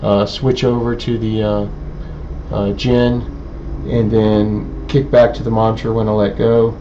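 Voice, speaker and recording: Guy explaining tech stuff, flat mellow voice, lots of hesitating, muffled with some hiss. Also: lowish voice. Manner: halting, hesitant delivery with many pauses. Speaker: presenter, radio hobbyist. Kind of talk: informal technical demonstration. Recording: dull, lo-fi sound with faint background noise.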